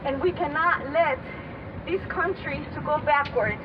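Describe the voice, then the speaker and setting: A woman's voice: high-pitched, measured pace. A woman from El Salvador, giving an earnest rally speech in plain words. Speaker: rally speaker. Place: outdoor city street rally. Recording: muffled outdoor recording with traffic noise.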